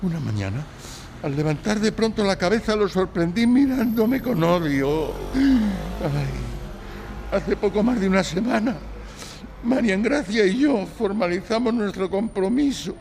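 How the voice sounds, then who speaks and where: Timid young client: high, creaky voice, halting and troubled, audio drama. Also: male voice, lively intonation, darkish tone, a little hiss or hum. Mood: meek, troubled. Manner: hesitant, halting, sighing. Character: timid, anguished young male client telling his misfortune. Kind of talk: live audio drama acting.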